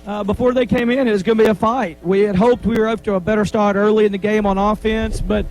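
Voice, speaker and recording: High-voiced football coach, postgame. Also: male voice, steady tone, fast, darkish tone, rough recording. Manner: plain-spoken, rambling, repeats himself. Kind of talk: postgame interview. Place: on the field after a game.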